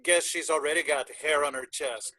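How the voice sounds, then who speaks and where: A man as a sarcastic higher-voiced bandmate. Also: steady tone, moderate pace, pushed and bright, no echo. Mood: teasing. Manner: mocking, sarcastic. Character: sarcastic, teasing country band musician.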